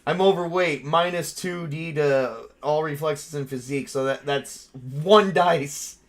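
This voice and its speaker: Sweary, higher-voiced man running a tabletop game. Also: a little slow. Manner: sweary, excitable. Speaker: game master and player of a tabletop RPG. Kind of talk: casual tabletop game play, narrating action and rules.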